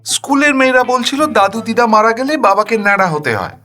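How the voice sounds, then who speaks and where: A man plays a curious little girl in an audio drama. The voice is very high and bright, childlike and questioning. Music plays behind it. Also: lively intonation, fairly quick, heavily acted. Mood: innocent, curious. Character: innocent, curious schoolgirl daughter questioning her father. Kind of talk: audio story character acting.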